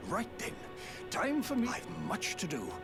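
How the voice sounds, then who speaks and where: Pompous nobleman, swooping pitch. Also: wildly swooping pitch, normal speed, music in the background. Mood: grand, proud. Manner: pompous, florid, theatrical. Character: pompous, self-important nobleman claiming a lordship. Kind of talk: video game character acting.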